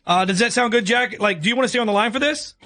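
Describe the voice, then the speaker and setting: A man's voice: high voice, fast talker, sharp tone, faint music behind. A man hosting a radio show, speaking casually to a caller.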